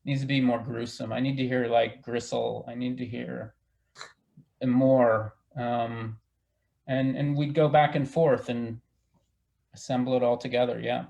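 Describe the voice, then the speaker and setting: Man, theater director on a discussion panel. Gravelly fry, unhurried, halting delivery. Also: medium pitch, level tone, mellow. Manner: halting, thinking aloud.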